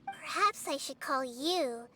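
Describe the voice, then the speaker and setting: An actress voicing a small, wise girl god of dreams in video game dialogue, speaking calmly and reasoning things through patiently. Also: high-pitched, takes it slowly, a little hiss or hum, full character voice. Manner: calm, patient, reasoning step by step. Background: faint game music.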